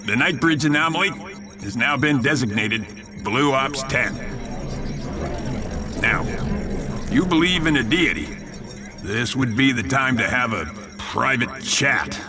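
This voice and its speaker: An actor playing a grave, urgent military commander in a radio drama, with a commanding, deliberate, theatrical delivery. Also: male voice, mid-range voice, expressive, over music. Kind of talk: cinematic radio drama acting.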